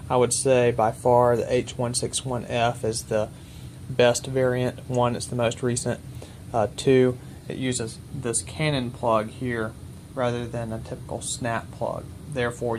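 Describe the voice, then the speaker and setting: A man's voice: mid-range voice, darkish tone, slight background noise. A man giving an unscripted, methodical technical walkthrough in a matter-of-fact, precise way, as a presenter demonstrating equipment.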